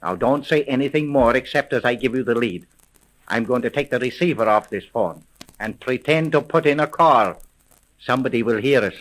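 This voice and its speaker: An actor playing a brusque, wary American police sergeant in a 1930s radio detective drama, with clipped, slangy, commanding speech. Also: male voice, mid-range voice, normal speed, lightly acted, rough recording. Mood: urgent, commanding.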